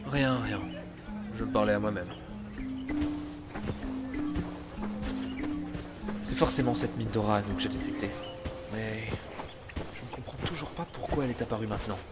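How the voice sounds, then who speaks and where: A man's voice: on the low side, brisk, lightly acted. A man playing a sardonic, skeptical traveler from an advanced parallel world in a sci-fi fantasy audio drama, dry and condescending in manner. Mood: pensive. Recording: voice under loud background music.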